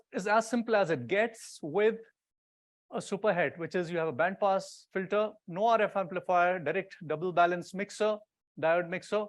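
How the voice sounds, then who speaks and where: Male conference presenter, notably high voice, explanatory and informal, walking listeners through technical material. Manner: explanatory, informal, pointing things out as he goes. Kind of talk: technical conference talk to an audience.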